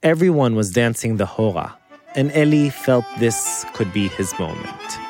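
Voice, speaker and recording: A man narrating a scripted documentary as host, phrasing deliberately with dramatic pauses, close on the microphone. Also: mid-range voice, animated, a little mellow, soft background music.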